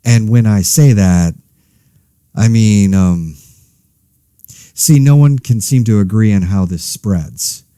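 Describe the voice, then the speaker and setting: A man playing a weary, anxious podcast host in a zombie outbreak, speaking casually with dry, wry, halting asides. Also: on the low side, dark tone, no echo. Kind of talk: casual monologue, scripted fiction podcast.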